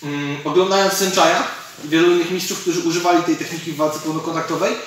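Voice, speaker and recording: A man's voice: on the high side, steady tone, fast talker, fairly bright tone. Echoey man coaching martial arts. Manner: explains while demonstrating moves. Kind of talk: instructional technique demonstration. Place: large indoor gym with fighting cage. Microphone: camera mic from several metres away. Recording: distant mic, very echoey hall, noisy.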